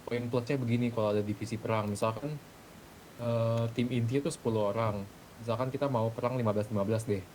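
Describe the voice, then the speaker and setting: Young Indonesian man, Jakarta accent, medium pitch, blunt casual gamer talk, faint background rumble. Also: fairly even intonation, fast talker, darkish tone. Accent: Indonesian, Jakarta colloquial. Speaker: GTA roleplay gamer in a group meeting. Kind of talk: casual group chat in an online game. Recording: gaming mic with faint low rumble.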